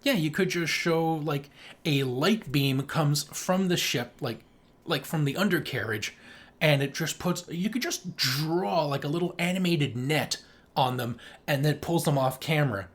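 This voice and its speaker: A man with a slightly high voice chats casually as a podcast co-host. He picks things apart with sarcasm, in loose conversational movie-review talk. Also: measured pace.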